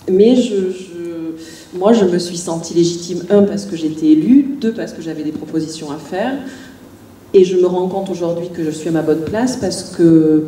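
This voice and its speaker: Middle-aged woman mayor, low mellow voice, lots of euh and restarts, far from the mic in an echoey hall. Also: slight background noise. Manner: hesitant, many restarts and fillers. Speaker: village mayor. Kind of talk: panel discussion answer.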